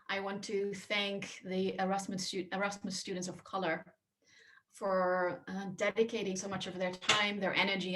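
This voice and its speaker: A woman in her thirties from Austria, speaking softly and carefully on a panel with many fillers. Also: mid-range voice. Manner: soft-spoken, careful, with fillers. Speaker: panelist, academic. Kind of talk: panel talk.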